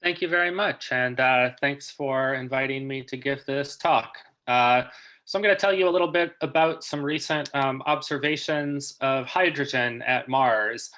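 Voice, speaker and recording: A man's voice: medium pitch, normal speed, pushed and bright. A man giving an explanatory academic lecture as a guest researcher in a webinar.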